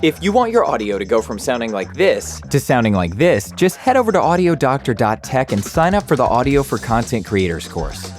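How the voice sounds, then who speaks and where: A man's voice: mid-range voice, big pitch swings, a little fast, few pauses, soft background music. A man giving an upbeat, direct tutorial to camera as an audio educator, recorded on a studio condenser mic. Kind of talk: casual tutorial talk to camera. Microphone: studio condenser mic on arm.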